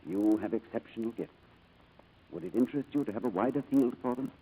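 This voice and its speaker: An actor playing a reserved, courteous gentleman with a hesitant, formal manner in a 1940s radio drama. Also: medium pitch, fairly quick, narrow, tinny audio, lightly acted. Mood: gentle, pleading. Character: reserved, courteous wealthy English businessman, haunted by lost past. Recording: noisy vintage radio broadcast.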